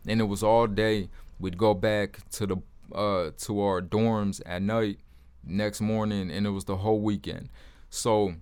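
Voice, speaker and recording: A deep-voiced man talks straight to his viewers in a casual, plain-spoken way. His speech is blunt and informal, with words like "man" scattered through. His voice sits low. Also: a little mellow, clear, resonant tone. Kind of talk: casual testimony to camera.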